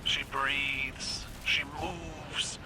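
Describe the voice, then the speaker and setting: A woman's voice: low voice, fairly even intonation, extremely slow, music in the background, poor audio. A woman voicing a proud alien warrior empress in a video game, speaking in a formal, grand, taunting way. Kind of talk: video game cutscene acting.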